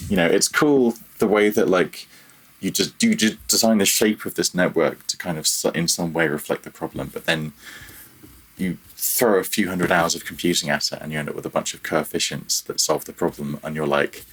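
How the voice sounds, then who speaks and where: A man's voice: low-pitched, fairly even intonation, normal speed, a bit bright, husky, low-quality recording. A man speaking as a guest in a casual technical interview, thinking aloud and often correcting himself mid-phrase. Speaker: guest, audio programmer.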